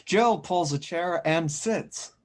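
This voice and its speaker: A man's voice: fairly high pitch, slow. A man acting as host and narrator, introducing and reading stage directions at a script table read.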